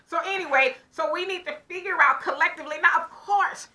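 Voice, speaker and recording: Bright, high-voiced woman telling a story. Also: moderate pace. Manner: animated storyteller who acts out her own side of conversations. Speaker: podcast co-host. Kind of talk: casual chat.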